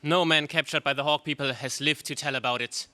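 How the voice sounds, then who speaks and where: An actor playing a gallant, fatalistic prince ally in a stagey 1930s space radio serial style. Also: male voice, fairly high pitch, steady tone, a little fast, pushed and bright, no room sound.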